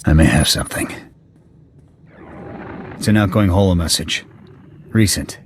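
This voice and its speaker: An actor playing a gruff soldier in a video game, speaking in a deep, clipped, businesslike way. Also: male voice, a little slow. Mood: focused, terse. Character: gruff low-voiced male soldier searching a prison. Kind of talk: video game cutscene acting. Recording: loud noise behind the voice.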